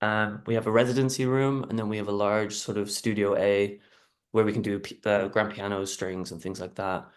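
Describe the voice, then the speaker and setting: An Irish man introducing himself on a panel in a relaxed, hesitant way with many fillers, talking over a video call. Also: on the low side, normal speed. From Ireland. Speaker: music publisher panelist.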